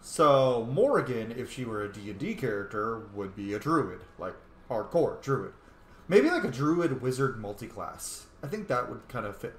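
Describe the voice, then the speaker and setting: A man's voice: medium pitch, very animated, moderate pace. A man giving casual, reactive commentary while playing a role-playing game, slangy and sweary in a playful way. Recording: roomy echo, faint noise.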